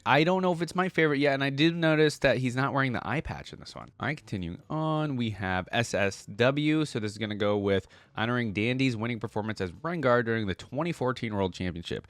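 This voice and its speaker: Man with lively, swooping casual delivery. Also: mid-range voice, dry recording. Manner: informal, thinking aloud with frequent "like" and "giving". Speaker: video host reacting to game content. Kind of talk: casual reaction commentary.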